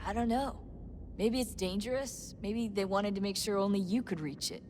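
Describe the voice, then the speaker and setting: An actress playing a considerate, curious young teammate in a video game, speaking in a conversational, tactful way. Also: female voice, mid-range voice, normal speed, natural voice. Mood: sympathetic, curious. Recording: background ambient noise.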